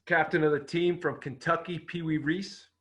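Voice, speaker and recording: A man's voice: on the high side, fairly even intonation, normal speed, fairly bright tone, close to an everyday voice. A man playing a friendly, welcoming baseball coach in a dramatic script reading.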